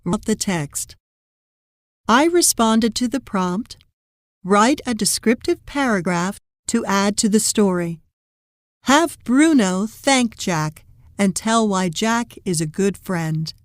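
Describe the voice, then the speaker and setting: A woman narrating a scripted children's lesson and story read-aloud, deliberately and instructionally. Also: medium pitch, lively intonation, dry recording, studio quality.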